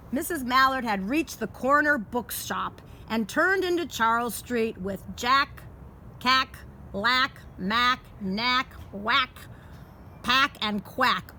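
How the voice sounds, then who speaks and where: A woman reading a children's picture book aloud in a deliberate storytime manner, outdoors in a garden. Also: on the high side, lively intonation, sharp tone. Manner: deliberate, showing pictures to listeners. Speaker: storytime reader. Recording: clear outdoor background noise.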